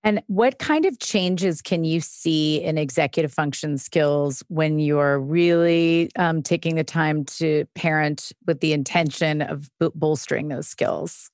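A woman's voice: low-pitched, measured pace, hardly any pauses, a little mellow, no room sound, clean studio sound. A woman hosting an interview podcast, asking questions and commenting in a casual, chatty way. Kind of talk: conversational interview.

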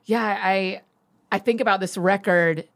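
A woman hosting a podcast, lively and talkative in casual chat. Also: mid-range voice, a little slow, creaky. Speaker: podcast host.

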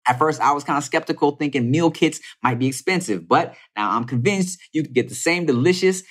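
A man's voice: a little high, fairly quick. A man hosting a podcast, reading sponsor ads in a loose, chatty way and tagging many lines with "okay?". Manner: drops "okay?" after lines. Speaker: podcast host. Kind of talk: casual podcast talk with read ads.

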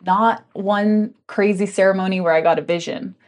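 A woman's voice: medium pitch, fairly even intonation, normal speed. A woman who grew up in Hong Kong, a guest on a podcast, speaks candidly and reflectively about her life in a casual chat full of "like" fillers. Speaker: guest, tea ceremony guide.

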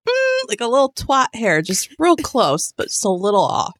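A woman co-hosting a chatty recap show, scatterbrained and quick to giggle as she mashes up sayings. Her voice is mid-pitched but bounces around widely, and it sounds dry and close to the mic. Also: huge pitch swings, no echo. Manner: scattered, mixes up idioms, apologetic giggles. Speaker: podcast co-host. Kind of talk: casual recap chat.

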